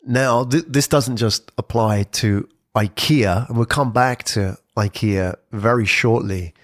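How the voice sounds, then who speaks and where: A man's voice: medium pitch, slow, no echo. Man explaining in a dry, close sound. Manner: lively and conversational, with frequent "sort of" hedges. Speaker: presenter on brand podcasting. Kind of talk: informal explainer talk.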